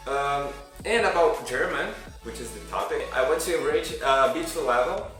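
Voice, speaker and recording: A young man speaks English as an in a relaxed vlog, pausing and restarting his sentences as he talks. His voice sits at an ordinary pitch and rings around a strongly echoing room, picked up from a little way off the mic. Music plays clearly underneath. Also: far from the mic. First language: Portuguese. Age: young adult. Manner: hesitant, with frequent restarts and fillers. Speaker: language learning vlogger. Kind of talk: casual vlog monologue.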